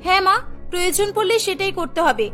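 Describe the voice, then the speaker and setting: A woman's voice: extremely high pitch, lively intonation, fairly quick. A woman playing a headstrong young career-minded daughter in a cartoon, emphatic and exclaiming, over music. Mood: rushed. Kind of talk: dramatised cartoon dialogue.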